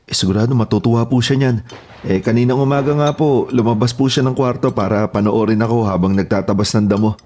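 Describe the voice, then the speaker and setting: A man's voice: medium pitch, fast, a little mellow, slight background noise, lightly acted. A man playing a polite, obedient houseboy and gardener who speaks deferentially in an audio horror drama. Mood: respectful, eager. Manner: deferential, eager to report.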